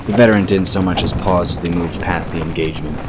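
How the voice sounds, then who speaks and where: Very deep-voiced male narrator. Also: steady tone, fast, very noisy. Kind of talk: scripted fantasy audio drama narration. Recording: loud music and effects under narration.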